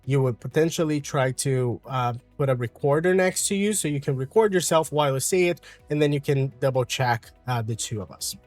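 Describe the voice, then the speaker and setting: A man from Brazil with a Brazilian Portuguese accent, calmly explaining an English lesson with frequent fillers. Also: medium pitch, fairly quick, few pauses, no echo, faint music behind. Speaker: English teacher.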